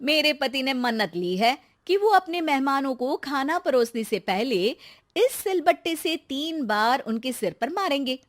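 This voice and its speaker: High, lilting sly village housewife , woman-acted. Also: expressive, a little slow. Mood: politely welcoming.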